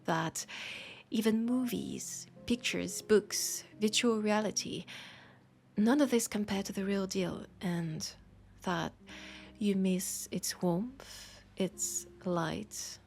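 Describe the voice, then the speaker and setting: A woman with a French accent playing a warm, teasing, caring bookseller in an intimate roleplay audio, speaking softly and hesitantly with pauses. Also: medium pitch, vocal fry, slight background noise. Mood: worried, tender. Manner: soft, hesitant, gently teasing, unhurried with pauses. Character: warm, teasing, worried mortal bookseller woman, fond of a vampire.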